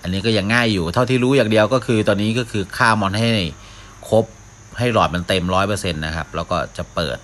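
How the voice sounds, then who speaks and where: Deep-voiced man doing casual game commentary. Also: fast talker, a bit bright, slight background noise. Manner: mouths sound effects, talks to himself while playing. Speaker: gaming video host. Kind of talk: casual live gameplay commentary.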